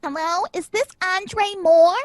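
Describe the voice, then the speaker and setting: An actress playing a perky, excitable, scatterbrained woman organizer in an audio drama. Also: very high-pitched, slow, a little hiss or hum. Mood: businesslike. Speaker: actor.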